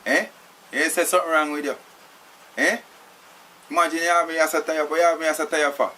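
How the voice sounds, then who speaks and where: Guy doing a fast-talking jamaican patois captive, bright voice, keeps saying "you know". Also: on the high side, steady tone. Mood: demanding. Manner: repeats "you know", irritable. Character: tied-up man, streetwise, indignant.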